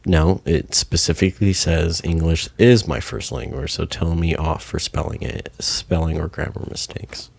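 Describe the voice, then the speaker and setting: A man's voice: rumbling low, fairly even intonation, normal speed, vocal fry, hardly any pauses, husky, no echo, whispered. A young man co-hosting a casual podcast, laid-back and swearing freely, at times reading a post aloud. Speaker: podcast co-host.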